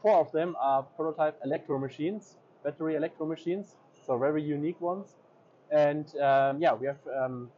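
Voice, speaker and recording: A man's voice: fairly high pitch, a little mellow. A man in his thirties guides visitors around a big indoor expo booth, explaining machines with lots of ums. He sounds a little dull and muffled on the camera mic. Faint hall noise hums behind him. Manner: hesitant, many ums and uhs. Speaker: equipment dealer representative. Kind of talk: trade fair booth tour. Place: large indoor exhibition hall.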